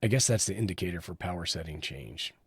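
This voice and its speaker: A man's voice: low-pitched, lively intonation, quick pace, a crowd in the background. A man giving a casual hands-on product review, explaining each step as he goes. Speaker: radio hobbyist reviewer, host.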